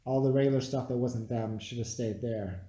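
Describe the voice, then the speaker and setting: A man's voice: mid-range voice, steady tone, brisk, mellow. A man co-hosting an amateur movie review in casual back-and-forth, stubbornly repeating his points. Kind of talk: casual argumentative film chat between friends. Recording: echoey room with slight noise.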